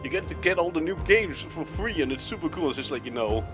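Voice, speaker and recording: A man's voice: on the high side, lively intonation, quick pace. A man commentating casually on a game stream, sweary, often stammering and repeating words. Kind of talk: casual chat over gameplay. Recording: loud game music behind voice. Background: video game music.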